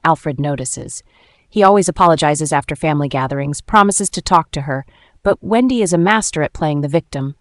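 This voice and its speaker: A woman's voice: sits low, brisk, no room sound. A woman reading a scripted first-person story as its dramatic narrator.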